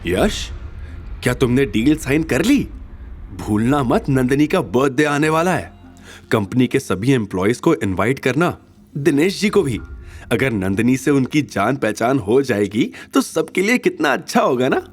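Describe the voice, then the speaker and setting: A man's voice: medium pitch, sing-song, a little slow, breathy edge. A man voicing a scheming, greedy middle-aged mother in a coaxing, sly manner. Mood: coaxing, awkward. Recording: music bed behind.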